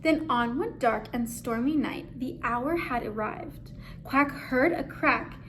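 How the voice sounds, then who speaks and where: A woman with a very high voice reads a children's story aloud. Her delivery is slow, expressive and dramatically phrased. There is faint noise in the background. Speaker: story reader. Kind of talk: expressive picture-book read-aloud.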